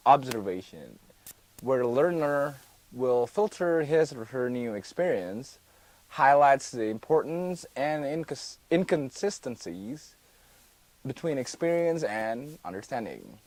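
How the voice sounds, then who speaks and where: A man's voice: mid-range voice, lively intonation, a little mellow, dry recording, rough recording. A young man from Indonesia talks through his studies as a guest on a homemade TV-style interview. He explains carefully, often stopping to restart a word mid-sentence. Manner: careful, halting delivery with restarts. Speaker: student guest. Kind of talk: mock TV interview, explaining coursework.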